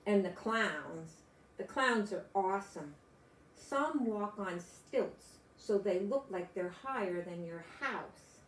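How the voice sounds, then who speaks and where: An older grandmother reads a children's story aloud. Her delivery is slow and very animated, with exclamations aimed at young listeners. The room around her sounds echoey. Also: mid-range voice. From United States. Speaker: grandmother reading picture books aloud. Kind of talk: children's storybook read-aloud with chatty asides.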